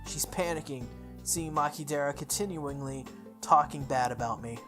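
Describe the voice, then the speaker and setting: A woman reading a visual novel aloud in first person, with expressive delivery, occasional stumbles and her own asides. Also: sits low, normal speed, music in the background. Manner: expressive, self-correcting reading.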